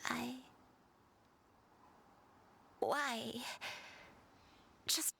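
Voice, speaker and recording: A frail, wistful grandmother, breathy and slow. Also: fairly high pitch, fairly even intonation, bright, forceful tone, a little hiss or hum, exaggerated voice. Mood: tender, sorrowful. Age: older. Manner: slow, halting, wistful delivery. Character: frail elderly grandmother, tender, sorrowful and forgetful. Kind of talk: dramatic video game voice acting. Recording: game dialogue under prominent background music.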